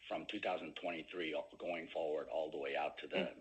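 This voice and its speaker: A man speaking as a company executive answering questions on an earnings call, with halting phrasing and many pauses. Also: extremely low pitch, fairly even intonation, poor audio.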